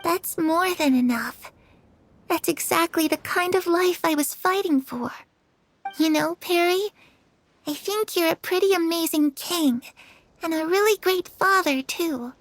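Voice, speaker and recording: A woman voicing a gentle, trusting young girl in a video game, earnest and softly wistful. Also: very high-pitched, slight background noise. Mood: grateful, wistful. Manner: soft, unhurried, earnest. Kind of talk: dramatic video game acting.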